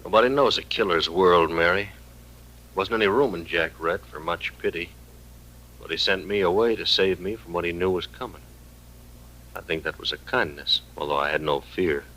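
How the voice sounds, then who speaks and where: An actor playing a sober, thoughtful American frontier man in an old radio western, measured and reflective. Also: low-pitched, sharp tone, low-quality recording. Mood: somber. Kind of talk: 1950s radio western acting.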